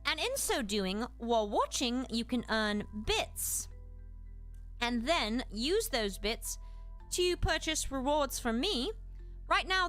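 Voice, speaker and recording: A woman with a very high, lively voice and a British accent hosts a livestreamed lesson. Her delivery swings widely in pitch as she explains things politely. Faint music plays behind her. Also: clear, resonant tone. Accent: British, put on. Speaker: live streamer and host giving a lesson. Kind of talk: livestreamed educational lesson with chat replies.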